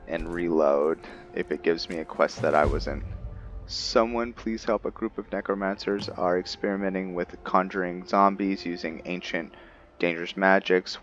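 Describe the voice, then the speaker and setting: A man giving casual commentary on his roleplay game, thinking aloud in a halting way. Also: low-pitched, fairly bright tone, highs cut off. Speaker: gamer narrating a roleplay playthrough.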